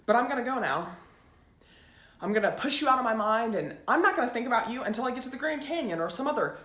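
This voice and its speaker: A woman's voice: a little high, expressive, fast talker, fairly bright tone, voice pushed up. Woman as an intense, bitter teenage daughter, echoey room. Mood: hurt, resolute. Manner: intense, resentful, emphatic. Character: bitter eighteen-year-old daughter, determined to leave home. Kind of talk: dramatic stage monologue. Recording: roomy echo, faint background noise.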